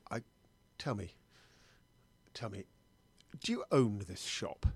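A man's voice: lowish voice, lively intonation, slow, husky, no room sound, clean studio sound, full character voice. A man playing an anxious middle-aged British sweet-shop customer in improvised comedy, rambling, hesitant and stammering as he doubts himself. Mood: alarmed, rambling. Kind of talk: improvised comedy monologue and sketch.

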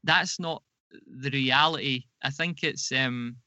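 A man's voice: mid-range voice, slow, pushed and bright, no room sound. A man speaking as a podcast guest in an interview, explaining things conversationally, often repeating words and correcting himself.

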